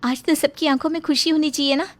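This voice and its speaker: A woman's voice: sits high, steady tone, fast talker, no echo, voice pushed up, natural voice. A woman voicing a kind, principled young daughter-in-law, earnest and firm, in a storybook tale. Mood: caring urgency. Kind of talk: storybook character acting.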